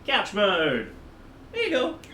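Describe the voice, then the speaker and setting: A man's voice: high voice, wildly swooping pitch, takes it slowly, sharp tone, a little hiss or hum. A man chatting casually as he streams a game from a room at home. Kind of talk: casual live stream chat. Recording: roomy, echoey stream with faint music. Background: faint game music.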